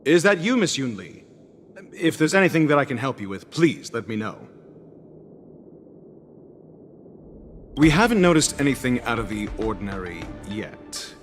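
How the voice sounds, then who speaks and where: Casting: actor as courteous, dutiful guard, mid-pitched male with animated inflection, game dialogue over faint music. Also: a little fast, close to an everyday voice. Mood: helpful. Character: polite, dutiful male soldier on guard duty. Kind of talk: English anime game voice acting.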